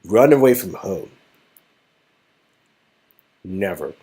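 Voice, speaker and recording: A man's voice: sits low, lively intonation, takes it slowly, dark tone, breathy edge, heavily acted. A man voicing an easygoing, slightly sheepish young man in a story game. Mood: playful.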